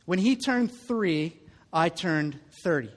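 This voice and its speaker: A man's voice: a little high, animated, takes it slowly, big-room sound. A man in his thirties preaching a sermon as a pastor, in a conversational way, often repeating the first word of a phrase. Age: 30s.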